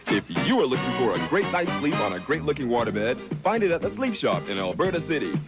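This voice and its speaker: A man's voice: mid-range voice, big pitch swings, fairly quick. A man reading a scripted local television commercial as an announcer. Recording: 1980s TV ad, loud music bed.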